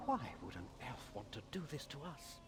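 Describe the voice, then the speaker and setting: An actor playing a devout, elderly monk in a video game, shaken and gentle, with a halting, earnest, musing way of talking. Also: male voice, mid-range voice, very animated. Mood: puzzled, musing. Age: older. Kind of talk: video game character dialogue. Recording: game dialogue over prominent music.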